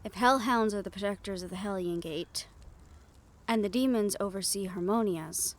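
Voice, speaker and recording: Woman acting as wistful half-banshee siren, female, soft-spoken, confiding, reassuring, intimate audio roleplay, reflective pauses. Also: medium pitch, lightly acted. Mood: solemn, reflective.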